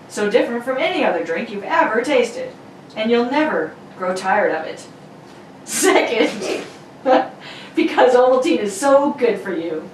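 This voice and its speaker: Higher-pitched playful woman in a roomy recording. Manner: playful, giggly asides. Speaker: host and announcer. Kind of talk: jokey introduction and old-radio script reading. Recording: roomy, distant mic with faint noise.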